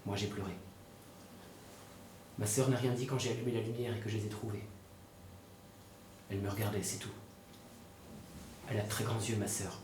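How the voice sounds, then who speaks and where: A man's voice: very high-pitched, rapid-fire. An actor as an anxious young man, echoey recording. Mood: shaken, hushed. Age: young adult. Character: anxious, short-tempered young man protective of his sister. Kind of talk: naturalistic dramatic dialogue. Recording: echoey, noisy room, distant mic.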